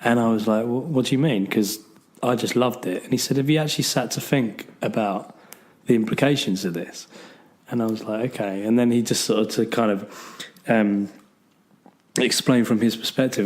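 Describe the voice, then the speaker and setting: A man's voice: mid-range voice, moderate pace, darkish tone. Man with a heavy cold. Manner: rambling, full of ums and restarts. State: has a bad cold, losing his voice. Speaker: podcast co-host. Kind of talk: casual chat.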